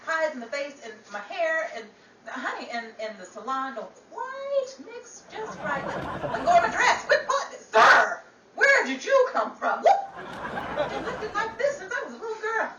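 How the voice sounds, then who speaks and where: A woman's voice: very high-pitched, very animated, moderate pace. A woman playing a brash, wisecracking broadcaster in a 1930s radio comedy, sassy and stammering when flustered. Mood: flustered protest. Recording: roomy, distant, noisy with crowd behind.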